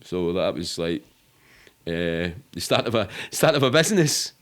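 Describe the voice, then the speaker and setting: Low-voiced Scottish man telling rambling stories. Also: sing-song, measured pace, fairly bright tone. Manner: rambling, sweary, matter-of-fact. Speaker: podcast guest. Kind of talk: casual storytelling in an interview.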